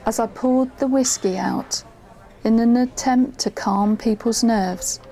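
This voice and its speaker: An actress playing a woman who narrates events she witnessed, in measured, suspenseful audio drama style. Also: a little high, dark tone. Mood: suspenseful narration. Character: female storyteller recalling a tense witnessed drama. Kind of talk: scripted first-person drama narration.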